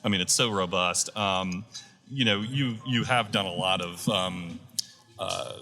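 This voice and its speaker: Host: male, low gravelly radio voice, jokey and loose. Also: measured pace, bright, forceful tone. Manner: joking, playful banter. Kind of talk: casual interview chat.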